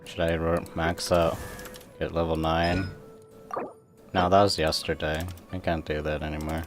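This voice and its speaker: A man's voice: sits low, level tone, unhurried, over music. A man livestreaming a game, rambling casually and muttering to himself as he plays. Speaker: livestreamer, gamer. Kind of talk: casual livestream gaming chat. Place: indoors at a computer.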